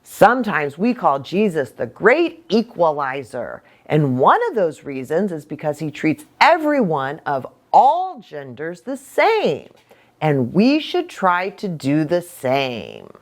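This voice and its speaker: Animated American woman preacher teaching children. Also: low-pitched, slow. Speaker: preacher and children's story host. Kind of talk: children's lesson talk, explaining simply.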